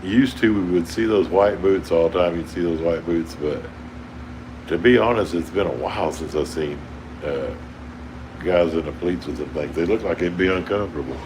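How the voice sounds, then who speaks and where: A man's voice: sits low, normal speed. A man with an Oklahoma drawl chatting casually with livestream viewers from a towboat, rambling and chuckling often. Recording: steady air conditioner hum behind.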